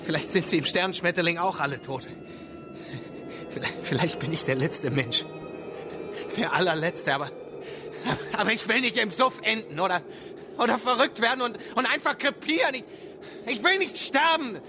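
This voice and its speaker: A man's voice: high voice, expressive, over music. An actor playing an embittered, domineering man stranded on a lonely planet in a science fiction radio drama. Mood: despairing, pleading. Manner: scornful in quarrels, halting and pleading when desperate. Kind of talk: German radio drama acting.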